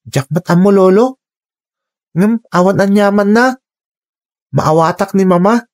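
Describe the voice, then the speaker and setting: A performer acting a caring young older brother in a radio drama, gentle and respectful. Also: medium pitch, a little slow, lightly acted. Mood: respectful, explaining.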